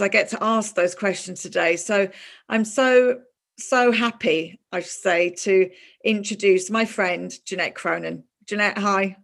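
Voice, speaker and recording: A woman hosts a relaxed podcast chat with a friend. Her voice sits in a middle register. She talks loosely and keeps restarting her sentences. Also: medium pitch. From moved to Ireland in 2000. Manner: chatty, warm with a friend, many fillers and restarts. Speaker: podcast host. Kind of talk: casual interview chat.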